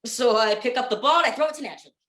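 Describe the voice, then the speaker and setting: A woman's voice: on the high side, very fast, fairly bright tone, reverberant, natural voice. A woman playing an exasperated, bewildered comic sidekick in a 1940s radio comedy routine, stammering and insistent in her questions. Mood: frustrated.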